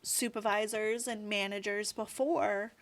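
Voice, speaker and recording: Thirties woman, interview guest, mid-pitched, rambling and chatty. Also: steady tone, a little slow. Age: 30s. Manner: chatty, rambling, fills with "like" and "you know". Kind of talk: casual interview chat.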